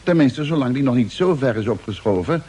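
A man's voice: mid-range voice, animated, brisk, dark tone, slightly rough. An actor playing a matter-of-fact spaceship crewman in an old-style radio play. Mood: explaining. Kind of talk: Dutch radio play acting, mid-century. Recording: noisy old radio drama recording.

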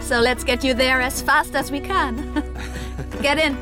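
A woman playing a young, carefree, reckless German traveller in a naturalistic radio drama, speaking playfully and a little haltingly in foreign languages. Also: high voice, steady tone, music in the background. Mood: playful, giddy.

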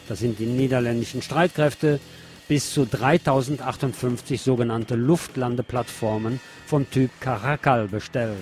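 Male news presenter, mid-pitched, scripted read with dry commentary asides, faint music behind.

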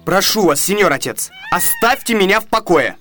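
An actor playing a hot-headed, vengeful young jilted suitor in a radio comedy, indignant and declamatory. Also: male voice, sits high, big pitch swings, normal speed, pushed and bright, faint music behind, heavily acted. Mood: furious. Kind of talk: Soviet radio theatre comedy acting.

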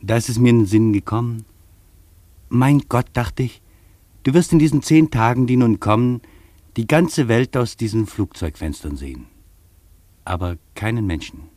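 An actor playing a reflective travel writer, recounting a journey in literary first-person radio narration. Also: male voice, mid-range voice, expressive, moderate pace, pauses often, warm tone, no room sound, natural voice. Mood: pensive.

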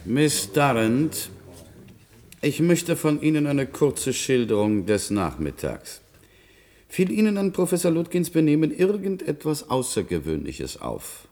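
An actor playing a formal, sceptical investigator in a German radio play, with a dry, probing way of talking. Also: male voice, fairly high pitch, very animated, unhurried, darkish tone. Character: sceptical, formal male investigator questioning officials.

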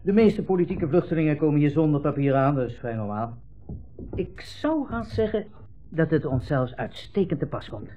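An actor playing a breezy, patronising army captain at a refugee centre, in 1960s radio drama style. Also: male voice, on the high side, animated, normal speed, faint music behind, close to an everyday voice. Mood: glib, breezy. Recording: muffled 1960s radio broadcast recording.

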